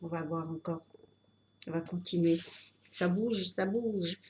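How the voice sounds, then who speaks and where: Woman, tarot reader, stuffy cold, slow halting delivery, many fillers, faint background noise. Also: fairly low pitch, a little mellow. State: has a cold. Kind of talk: unscripted tarot reading.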